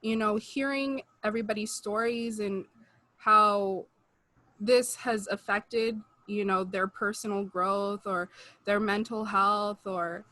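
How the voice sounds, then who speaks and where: A woman's voice: mid-range voice, steady tone, takes it slowly, bright, forceful tone, no room sound. A young woman co-hosting a podcast, talking earnestly in casual chat on a video call from home. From United States. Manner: earnest, talks with rising feeling, many "like" and "you know". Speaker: podcast co-host. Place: room at home, indoors. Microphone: video call webcam mic.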